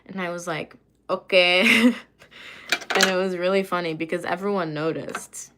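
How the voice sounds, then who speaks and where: A woman's voice: on the low side, fairly even intonation, unhurried, noisy background. A woman casually chatting on a livestream, reading and answering viewer comments while doing her makeup, rambling with lots of fillers and asides.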